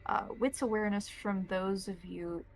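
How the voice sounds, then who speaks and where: A woman's voice: mid-range voice, fairly even intonation, normal speed. Woman game master with music behind. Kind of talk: narrating a tabletop roleplaying game.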